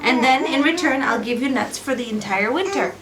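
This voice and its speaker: A woman voicing a kindly, all-knowing forest witch in a bedtime story, knowing and gently admonishing. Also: high voice, animated, fairly quick, a crowd in the background, poor audio. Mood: gently scolding.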